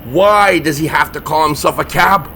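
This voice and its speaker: An actor playing an exasperated, sarcastic, long-suffering sidekick in a comedy horror audio drama. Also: male voice, on the high side, normal speed, pushed and bright, slight background noise. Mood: incredulous. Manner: sarcastic, whiny.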